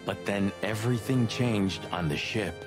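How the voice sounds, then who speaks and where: An actor playing a talkative, sardonic rooster cop sidekick in a film-noir adventure game. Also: male voice, on the low side, moderate pace, music in the background, natural voice. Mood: confessional.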